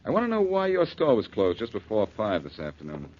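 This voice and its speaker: An actor playing a self-assured, wry amateur detective in 1940s radio drama style, cool under threat. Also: male voice, on the low side, wildly swooping pitch, fairly quick, a little mellow. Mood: cool, challenging. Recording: muffled noisy 1940s radio recording.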